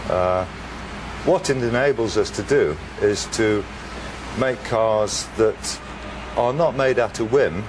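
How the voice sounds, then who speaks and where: A man in his fifties with an English accent, a company boss answering interview questions in a measured, understated way. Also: lowish voice. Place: indoor workshop, machinery noise behind. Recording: noisy workshop interview.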